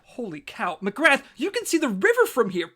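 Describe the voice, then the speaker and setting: A woman playing an excitable, gushing secret agent sidekick who is wide-eyed and easily delighted, in an audio comedy drama. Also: fairly high pitch, moderate pace, heavily acted. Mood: gleeful, awed.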